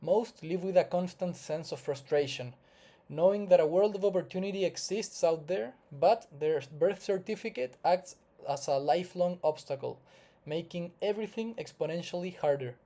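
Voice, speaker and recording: Male consultant-presenter, Chilean Spanish accent, slightly high yet very mellow voice, earnest and formal explainer read. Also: moderate pace. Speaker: investment migration consultant presenting. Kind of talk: scripted explainer narration to viewers.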